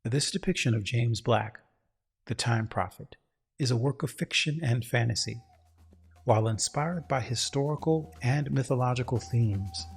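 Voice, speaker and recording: Male story narrator, heavily husky, medium pitch, solemn and grandiose delivery. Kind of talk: dramatic scripted story narration.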